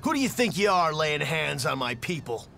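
An actor playing a brash, confident young pirate captain in a video game, with a swaggering delivery. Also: male voice, on the high side, very animated, normal speed, vocal fry, pushed and bright, a little hiss or hum, heavily acted. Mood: bold, challenging. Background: music.

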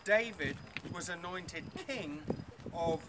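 A man's voice: fairly high pitch, slow pace. Middle-aged man guiding a tour outdoors. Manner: chatty, many ums, quizzing the group. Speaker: tour guide. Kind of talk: outdoor guided tour talk. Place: outdoors by an old stone wall. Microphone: far camera. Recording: noisy outdoor recording.

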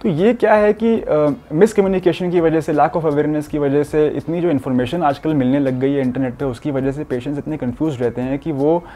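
Young man, doctor explaining to camera, earnest, warm and mellow, seated with a lapel mic in a quiet room. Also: on the high side, a little fast, hardly any pauses. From India. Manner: earnest, explanatory, mixes English medical terms into speech. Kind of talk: explanatory health talk to camera. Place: furnished studio room with curtains, indoors.

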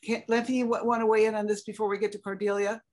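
A woman's voice: medium pitch, level tone, racing, a little mellow. An older woman moderating an online panel from her home office, posing questions to the panelists. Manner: inquisitive, poses questions to panelists. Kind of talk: panel discussion on a video call. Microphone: webcam on a video call.

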